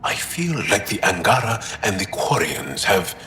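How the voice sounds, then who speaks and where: A wistful scholarly alien, real slow, bright forceful voice, game dialogue, bit echoey. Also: male voice, sits low, big pitch swings, slight background noise, full character voice. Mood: earnest, wistful. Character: earnest, thoughtful male alien freedom fighter, scholarly and wistful. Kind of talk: video game dialogue acting.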